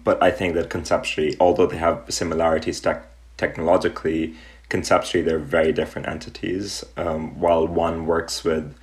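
Very deep-voiced young man from Pakistan. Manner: thoughtful, with frequent ums and pauses. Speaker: artist, interview guest. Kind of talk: relaxed reflective interview answers.

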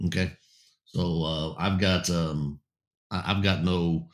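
A man with a very low, deep voice hosts a casual talk show, his throat going raspy as he loses his voice. He talks haltingly, stacking fillers and false starts, then turns blunt and emphatic. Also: fairly even intonation. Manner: halting, many fillers and restarts. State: losing his voice, getting raspy. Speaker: host.

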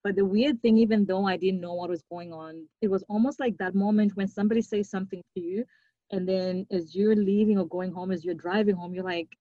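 A woman's voice: mid-range voice, steady tone, fairly quick, no room sound, highs cut off. Earnest woman from Zimbabwe, dry sound. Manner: reflective, earnest, with soft laughs. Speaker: podcast guest. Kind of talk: conversational interview.

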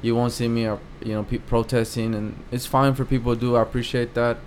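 A man's voice: medium pitch, little pitch movement, fast talker, slight background noise. A man in his thirties from Mexico with a Mexican accent, a guest artist talking hesitantly in a casual interview, with many fillers and restarts.

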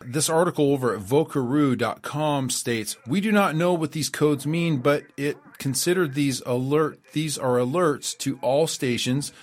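A man's voice: on the high side, a little slow, few pauses. A man hosting a radio show, talking casually and rambling, reading out quotes with frequent fillers and restarts. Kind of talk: casual spoken commentary.

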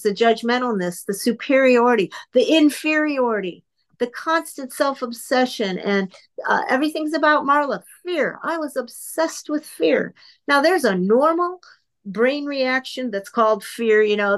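A woman's voice: fairly high pitch, normal speed. A woman in her fifties giving an earnest, candid, self-deprecating recovery share to a group over an online call from her computer. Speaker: speaker sharing at a twelve-step meeting.

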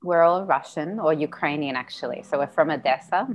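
A young woman with a low-pitched voice tells her story as an interview guest. She is earnest and chatty, breaks into laughter often and drops the odd swear word. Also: fairly quick, a little hiss or hum. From Australia. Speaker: guest, business founder. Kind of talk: casual interview chat.

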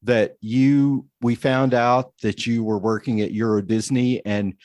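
A man from Oklahoma co-hosting a podcast, chatting casually and telling lively anecdotes. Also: medium pitch, measured pace, no room sound. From Oklahoma, USA. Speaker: podcast co-host.

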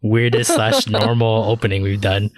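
A man's voice: on the low side, flat delivery, normal speed, dry recording. A man hosting a podcast in casual, joking chat with his co-hosts. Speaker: podcast host.

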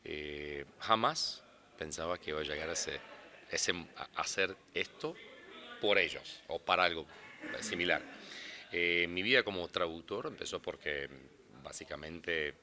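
A man's voice: sits low, unhurried, bright, forceful tone. A man from Brazil, a native Portuguese speaker, talking casually in an interview about his work as an interpreter, rambling and restarting often.

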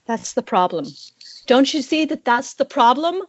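A woman's voice: high-pitched, expressive, normal speed, no room sound. A woman reading a hurt, fed-up wife confronting her addict husband in an amateur screenplay table read, exasperated and confrontational. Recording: dull, noisy internet call with clicks.

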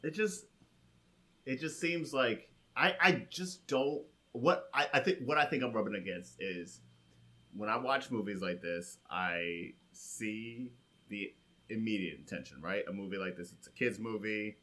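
A man's voice: on the low side, unhurried, sharp tone. A man co-hosting a podcast, giving casual but emphatic film opinions and restarting to find his point.

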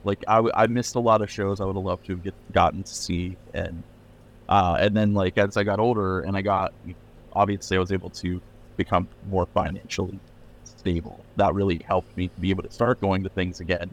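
Very low-voiced rambling man. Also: little pitch movement, brisk. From Pennsylvania, USA. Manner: rambling, many "like" fillers and restarts. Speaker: record label owner, guest. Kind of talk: casual podcast interview.

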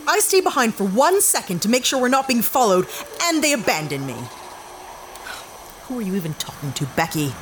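An actress playing a sharp-tongued young woman lost in an alien forest, sarcastic and exasperated. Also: fairly high pitch, sing-song, brisk. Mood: wary, irritated. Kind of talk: audio drama acting. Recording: forest ambience noise behind.

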